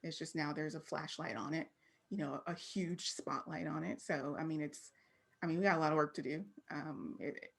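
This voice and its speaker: A woman's voice: low voice, steady tone, moderate pace, gravelly, husky. A woman from Texas with an American accent, talking candidly and informally as an interview guest. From Fort Worth, Texas, United States. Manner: candid, informal, frequently laughing. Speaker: interview guest, creative professional. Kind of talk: casual interview conversation.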